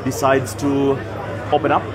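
A man's voice: mid-range voice, completely flat delivery, a little slow. A man with a Kenyan accent giving chatty live commentary on a pool match for a stream, greeting viewers by name between shots. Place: pool tournament venue in Nairobi. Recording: loud crowd noise all around. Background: loud crowd.

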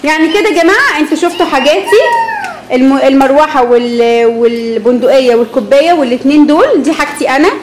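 Fast-talking Egyptian woman vlogging toys. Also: high-pitched, animated. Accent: Egyptian Arabic. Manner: chatty, playful, addresses viewers directly. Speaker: family channel host. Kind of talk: casual toy unboxing vlog. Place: living room at home, indoors. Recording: echoey tiled room, faint room noise.

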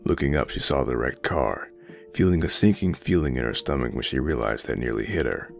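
A man narrates an audio drama in an extremely low voice. His delivery is full of vocal fry, and music plays clearly behind the scripted prose. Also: a little fast. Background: loud background music.

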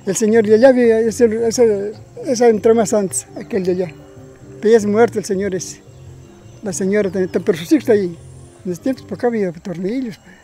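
An older man with a Peruvian accent gives a casual villager's testimony. His voice is soft-edged and dark, his phrasing plain and repetitive, with music behind him. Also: high-pitched, brisk. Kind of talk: casual oral recollection.

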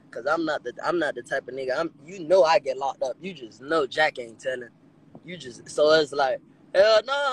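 A young man hosting a casual livestream from inside a car, talking to his phone at arm's length, animated, dropping low and then jumping up high. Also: creaky, breathy edge, poor audio. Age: young adult. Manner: animated, swings between low mutters and high exclamations.

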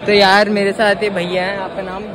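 A young man vlogging backstage at a bodybuilding show, excitable and chatty, filmed on a selfie phone at arm's length in a busy expo hall. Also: sits high, fairly quick, very noisy. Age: young adult. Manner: excitable, keeps saying brother. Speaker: vlogger and competitor. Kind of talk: casual vlog chat. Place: crowded indoor expo hall. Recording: loud crowd behind.

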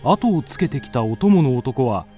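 A man's voice: mid-range voice, big pitch swings, moderate pace, warm tone, faint music behind. A man narrating a scripted ghost story in a polite storytelling manner.

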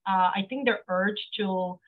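A woman's voice: medium pitch, unhurried. A middle-aged woman with a Polish accent, a guest talking earnestly over a video call with many fillers and restarts. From Poland. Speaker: guest, accountant. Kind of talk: casual interview talk. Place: home office, indoors. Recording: phone-like narrow sound.

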